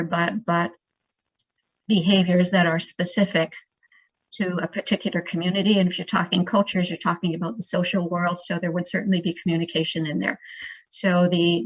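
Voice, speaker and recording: Low-voiced woman researcher, thinking aloud. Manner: halting, thinking aloud, repeats words. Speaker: primate researcher, guest speaker. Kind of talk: informal academic question-and-answer. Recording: dull lo-fi sound.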